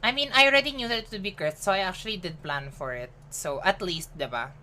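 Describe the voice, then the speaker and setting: Chatty woman streamer, bright voice. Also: on the low side, fast, a little hiss or hum. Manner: chatty and upbeat, mixes English with Tagalog, laughs often, greets chat by name. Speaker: game streamer. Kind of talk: casual live-stream chat.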